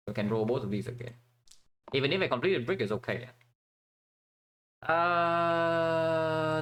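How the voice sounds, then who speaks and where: A man's voice: mid-range voice, fairly bright tone. A man from Vietnam giving casual commentary while playing a strategy game, thinking aloud with many fillers and restarts.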